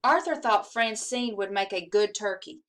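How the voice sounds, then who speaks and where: A woman reading a children's story aloud in an expressive, animated way, acting out the dialogue with emphasis. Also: medium pitch, normal speed. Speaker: assistant principal reading aloud to schoolchildren.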